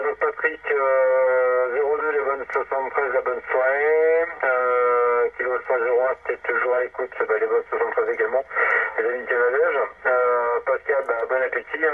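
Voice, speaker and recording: Polite guy on cb radio, tinny n far away, loads of noise. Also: mid-range voice. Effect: CB single-sideband radio transmission. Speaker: amateur CB radio operator. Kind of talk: casual radio sign-off chat. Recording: distant, tinny, very noisy CB radio reception.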